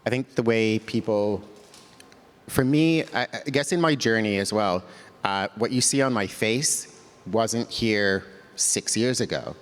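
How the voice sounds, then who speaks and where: A man with a fairly low voice speaks as a panelist, thoughtful and unhurried. A long reverb trails his words, as in a large hall. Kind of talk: panel discussion.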